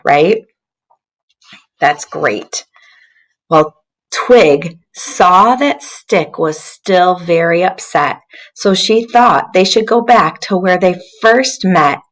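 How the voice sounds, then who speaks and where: Female teacher for interactive picture-book reading, slow delivery, encouraging, puts questions to kids. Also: sits low. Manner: encouraging, questioning, addresses young listeners directly. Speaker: teacher reading aloud to children. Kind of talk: interactive children's storybook read-aloud.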